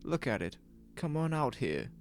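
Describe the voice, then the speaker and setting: Agitated small-town man, acted by a man, animated. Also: a little high, measured pace, a little hiss or hum, voice pushed up. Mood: agitated, urgent. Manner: urgent, questioning. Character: alarmed, agitated small-town shop owner, horrified and insistent. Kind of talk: audio drama acting.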